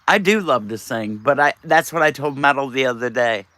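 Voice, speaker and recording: Man streamer, raspy, bright lively voice, teasing banter, dry close sound. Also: mid-range voice, unhurried, dry recording. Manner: teasing, chatty, reads out and answers viewers. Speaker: live streamer. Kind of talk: casual live-stream chat with viewers.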